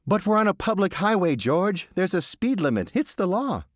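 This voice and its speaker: A man voicing a timid, rule-bound male car salesman who pleads and then yells in panic. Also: sing-song, normal speed, no room sound, studio quality. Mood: panicked. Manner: pleading, high and strained, rising to yells.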